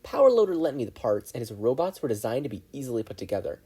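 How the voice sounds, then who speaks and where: An earnest teenage boy, eager yet unsure of himself, played by a podfic narrator. He has a mild, soft tone with lifted, lively intonation. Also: medium pitch, fast, husky.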